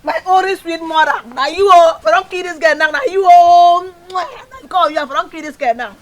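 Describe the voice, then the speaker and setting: A woman's voice: extremely high pitch, moderate pace. A woman with a Nigerian accent playing a hot-headed, defiant, street-tough daughter in a film drama. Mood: pleading. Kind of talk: film drama dialogue in Pidgin English. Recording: music behind the voice.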